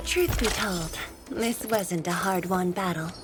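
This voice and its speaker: A woman playing a poised, confident young fantasy fighter in a video game, speaking in a measured, formal way. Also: on the low side. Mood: calm confidence. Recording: loud game music behind the voice. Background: loud game music.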